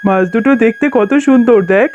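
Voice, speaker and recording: A doting father fox from a village cartoon, performed by an actor, poor and devoted to family. The voice is medium in pitch, and the recording is dull and narrow, with faint music underneath. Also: a little fast, a little mellow, close to an everyday voice. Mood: fond, eager. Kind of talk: Bengali children's cartoon dialogue. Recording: muffled, narrow-band sound with music.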